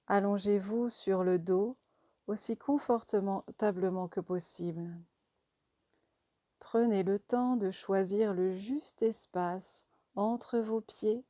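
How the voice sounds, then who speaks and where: A woman guiding a yoga relaxation meditation in a calm, soothing, deliberate manner. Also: mid-range voice, no echo. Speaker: yoga nidra teacher.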